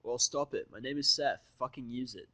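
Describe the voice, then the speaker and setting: A man's voice: medium pitch, normal speed, bright, forceful tone, no echo, lightly acted. A man playing an irritable, wary human man in a fantasy audio drama, with blunt, guarded speech. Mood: irritated.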